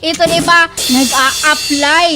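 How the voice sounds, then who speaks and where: An actress with a Filipino Waray accent playing a coaxing, dramatic daughter in a slapstick radio comedy, pleading in an exaggerated way. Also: female voice, sits high, steady tone, slow pace, over loud music. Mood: excited, pleading.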